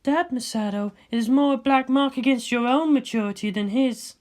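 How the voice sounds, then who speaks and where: A man voicing a cool, sensible woman scientist with a measured, dryly chiding manner in a fan fiction reading. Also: extremely high pitch, darkish tone, pitched above the performer's own voice. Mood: dry, amused.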